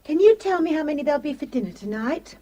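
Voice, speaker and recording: A cheeky young maid in a radio play, pert and impertinent. Her voice sits high and bright with a sly lilt. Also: expressive, fast talker, highs cut off. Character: pert young housemaid, Julie. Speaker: actor in radio drama.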